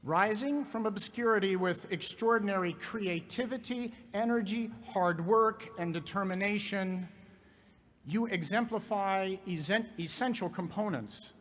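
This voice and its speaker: Man, high voice, university president, formal citation, measured reading. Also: a bit bright. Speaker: university president conferring degree.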